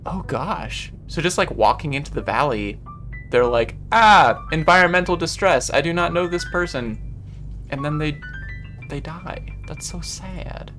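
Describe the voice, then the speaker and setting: A gaming video host with a mid-pitched voice talks casually through a playthrough. The delivery is expressive, full of exclamations and reactions. Game music plays clearly behind the voice. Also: unhurried. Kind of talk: casual playthrough commentary, reading game text aloud. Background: game background music.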